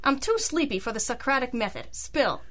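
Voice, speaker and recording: Sassy high-voiced girl detective. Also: animated, normal speed, fairly bright tone. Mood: brisk, wry. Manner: wry, slangy, hard-boiled. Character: wry, sassy female hard-boiled private detective, narrating and talking. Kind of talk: old-time radio detective drama.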